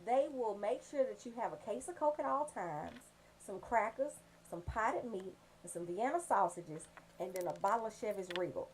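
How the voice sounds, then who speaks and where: A woman hosting a radio show, giving casual, comedic shout-outs in a sassy, joking, profane way. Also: mid-range voice, animated, normal speed, a little hiss or hum, rough recording.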